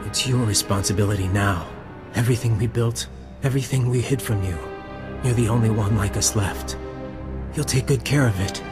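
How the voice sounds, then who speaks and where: An actor playing a cocky, bitter rival spy in a sci-fi game, with a taunting, intimate, dramatic delivery. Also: male voice, lowish voice, moderate pace, lightly acted. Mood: resigned. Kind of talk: scripted sci-fi game acting. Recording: long reverb, clear music behind.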